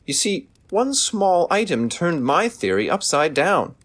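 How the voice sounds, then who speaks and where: A theatrical detective, very animated delivery. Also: male voice, high voice, heavily acted. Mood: accusing, assured. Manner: theatrical, deliberate, authoritative deductive speech. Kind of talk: audio drama acting.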